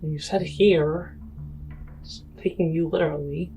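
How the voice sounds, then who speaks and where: Low-voiced, mellow woman playing a tabletop game. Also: steady tone, unhurried, soft background music, low-quality recording. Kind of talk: in-character questioning, casual.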